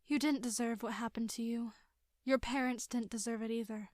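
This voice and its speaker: An actress playing a doting, gentle middle-aged mother in an audio drama, solicitous and gracious. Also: a little high, steady tone, moderate pace, no room sound, clean studio sound, natural voice. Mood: concerned, soothing.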